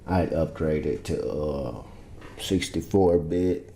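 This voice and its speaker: Male producer, bass-heavy voice, easygoing and rambling, roomy home-studio sound. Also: takes it slowly, mellow, slight background noise. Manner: laid-back, rambling, loose and informal. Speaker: music producer teaching vocal mixing. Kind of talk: casual screen-share tutorial. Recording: roomy echo.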